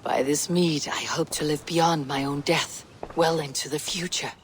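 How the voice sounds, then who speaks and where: A woman playing a Viking warrior troubled by strange visions, speaking in a measured, earnest way in a video game. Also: low voice, fairly even intonation, a little hiss or hum. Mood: awed, earnest. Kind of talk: dramatic video game acting.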